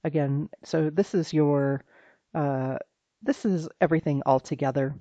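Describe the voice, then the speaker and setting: Slightly hoarse speaker, health practitioner explaining lab results, halting informal delivery, mellow tone, dull muffled sound. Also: medium pitch, no echo. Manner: halting and informal, with frequent restarts and fillers. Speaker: health practitioner reviewing a client's blood test results. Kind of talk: private recorded walkthrough of lab results.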